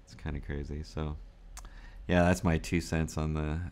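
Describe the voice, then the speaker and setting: Super low gravelly guy in his 40s, rambling vlog talk, laughs a lot. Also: flat delivery, moderate pace, a little mellow. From United States. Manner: rambling, musing, laughs often. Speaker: vlogger. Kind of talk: casual unscripted vlog talk.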